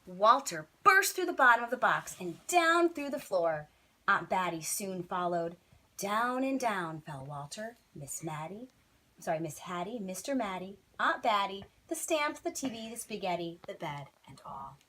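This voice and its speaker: A woman's voice: mid-range voice, sing-song, a little slow, pushed and bright, rough recording. A woman teacher reading a children's bedtime story expressively, with dramatic, emphatic phrasing.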